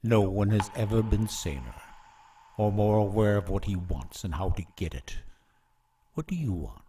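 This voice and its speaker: A man's voice: fairly low pitch, very animated, moderate pace, dark tone, recorded from a distance, slight background noise. An actor playing an arrogant young prince hungry for the throne in a radio drama, imperious and menacing as he declaims. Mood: gloating, menacing.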